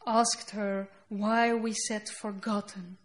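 A woman whose first language is Dutch, speaking English with a Dutch accent as she reads her poetry slowly and deliberately on a festival stage. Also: mid-range voice, flat delivery. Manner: slow, deliberate reading with halting English asides. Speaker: poet. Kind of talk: poetry reading. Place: festival stage before an audience.

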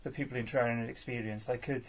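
A man's voice: medium pitch, fairly even intonation, racing. A man in his thirties, a poet from Wales, answering audience questions at a live salon, hesitant with many fillers and restarts. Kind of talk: casual live Q&A at a poetry salon.

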